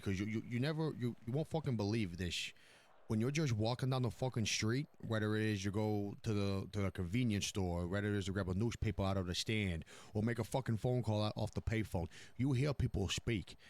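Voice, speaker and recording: A man playing a low-voiced, foul-mouthed mob boss. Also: darkish tone, lightly acted. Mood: conspiratorial. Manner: repeats and stammers words, swears heavily. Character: blunt, foul-mouthed male mafia boss running his crew. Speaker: roleplay streamer. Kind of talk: improvised crime roleplay in a game.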